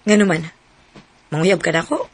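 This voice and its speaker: Husky young tomboy. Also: female voice, lowish voice, fairly even intonation, moderate pace. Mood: guarded, doubtful. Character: guarded, plain-spoken young tomboy woman. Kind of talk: radio drama acting.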